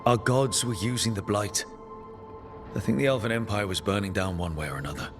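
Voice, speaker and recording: Casting: man as level-headed rebel hero for a fantasy game, low voice, clear music underneath. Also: a little fast, close to an everyday voice. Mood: measured, resolute. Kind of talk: video game cutscene dialogue. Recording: music behind the voice.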